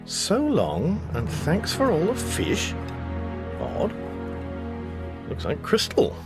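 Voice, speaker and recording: A mild, bemused man alone in a radio drama, muttering wryly. He takes his time, and his pitch roams very widely. Music plays clearly behind him. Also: wildly swooping pitch, takes it slowly, heavily acted. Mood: musing. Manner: wry, musing, muttering to himself.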